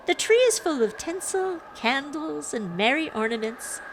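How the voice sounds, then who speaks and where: Woman acting a prim young lady, higher pitched, rehearsed cheer, emphatic sing-song lists, storybook recital. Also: expressive, measured pace, slight background noise, rough recording. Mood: staged cheer.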